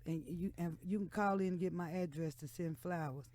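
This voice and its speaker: A woman's voice: fairly low pitch, fairly quick, mellow. An American woman in her sixties talking candidly and emphatically as a guest in a radio interview. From Texas and Oklahoma, United States. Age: 60s. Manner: frank, outspoken, emphatic. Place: radio studio. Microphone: studio microphone.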